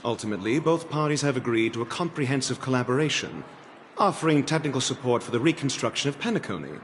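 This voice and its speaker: A man's voice: medium pitch, sing-song, fast, a little hiss or hum, natural voice. Man as a pompous scholar in a reverberant hall. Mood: confident, haughty. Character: self-assured, theatrical male scholar and guild envoy. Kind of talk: scripted game dialogue.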